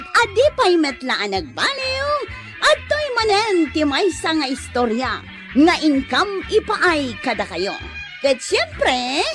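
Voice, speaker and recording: A woman host giving a scripted, theatrical introduction to a radio drama. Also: very high voice. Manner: theatrical, exclaiming.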